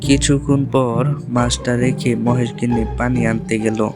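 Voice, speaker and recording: A man reads scripted narration for a cartoon story. His medium-pitched voice keeps to a narrow, even range, and loud music plays underneath. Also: normal speed.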